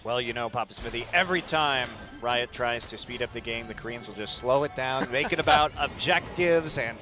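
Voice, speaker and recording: A man's voice: medium pitch, normal speed, music in the background. A man commentating on esports in casual banter, chuckling often and teasing drily.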